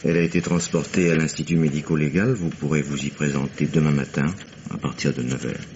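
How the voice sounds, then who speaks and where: An actor playing a formal, regretful police inspector in the style of 1950s French radio drama, polite and measured. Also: male voice, extremely low pitch, steady tone, darkish tone. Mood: grave, apologetic. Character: courteous, regretful male police inspector breaking bad news. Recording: dull, noisy old radio recording.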